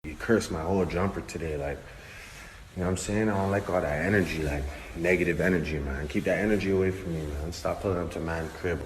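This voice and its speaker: Brief: a man putting on a deep-voiced, irritated Toronto guy with a low slangy mumble, a faint hiss behind. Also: quick pace, natural voice, low-quality recording. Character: annoyed laid-back young man, deep-voiced.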